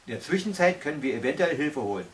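A man voicing a helpful, resourceful butterfly in a storybook, with a brisk, practical manner. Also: a little high, very animated, recorded from a distance, rough recording.

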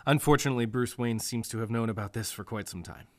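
An actor playing a weary, wry district attorney in a radio noir drama, dry and world-weary. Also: male voice, mid-range voice, fast talker, dry recording, close to an everyday voice. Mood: wry tired.

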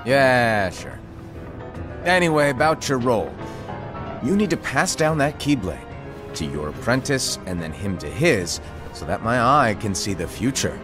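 Playful, mischievous master, wildly swooping delivery. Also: male voice, medium pitch, big pitch swings, over music. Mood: breezy instructing. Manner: theatrical, teasing, drawn-out wordy delivery. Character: playful, mischievous hooded keyblade master, teasing mentor. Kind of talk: animated game cutscene dialogue.

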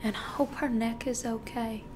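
High, wildly swinging manipulative killer played by a woman. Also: big pitch swings. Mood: meek, grateful. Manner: foul-mouthed, taunting, theatrical, halting. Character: manipulative killer client, meek at first, then gloating and mocking. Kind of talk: dramatic film monologue performance. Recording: faint noise and low rumble.

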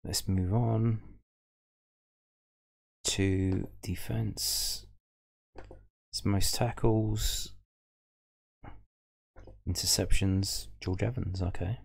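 A man's voice: low-pitched, steady tone, drawn-out words, gravelly, pauses often, breathy edge. A man hosting a fan show, casually talking through football match statistics on screen and thinking aloud as he reads them. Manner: musing, thinking aloud over a stats table. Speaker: fan podcast host. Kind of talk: casual stats commentary.

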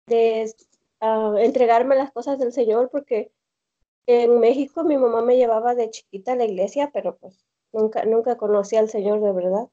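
A young woman with a Mexican accent calling in on a video call from home, telling her story haltingly with many fillers and restarts. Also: fairly high pitch, warm tone. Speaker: caller. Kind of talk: hesitant personal testimony on a video call. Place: home room indoors. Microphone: laptop or phone on a video call. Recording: dull lo-fi video call audio.

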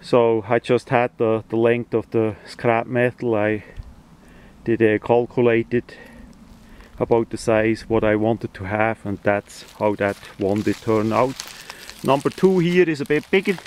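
A man's voice: medium pitch, steady tone, measured pace, darkish tone. A man who speaks English as a second language, giving a casual hands-on demonstration outdoors with hesitant phrasing. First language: not English (non-native speaker). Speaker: hobbyist video maker. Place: outdoors, beside a tarp on the ground.

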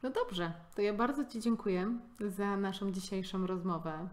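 A woman co-hosting a coaching podcast, speaking in a calm, affirming way with hesitant fillers. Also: medium pitch, moderate pace. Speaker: coach and co-host. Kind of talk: podcast conversation.

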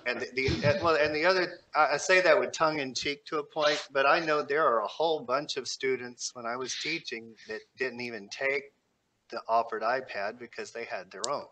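A man's voice: medium pitch, moderate pace, pushed and bright, slight background noise, poor audio. A man speaking earnestly at a school board meeting over a video call, walking through figures. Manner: earnest, reasoned, cites figures.

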